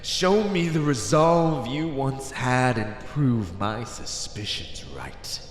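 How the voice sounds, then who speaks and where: Villain warlord, male actor for ASMR roleplay: condescending, coldly persuasive, unhurried delivery with big swings in pitch, reverberant hall. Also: animated. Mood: goading. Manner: deliberate, menacing, condescending, theatrical. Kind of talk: ASMR roleplay, dramatic monologue. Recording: echoey hall reverb, faint noise.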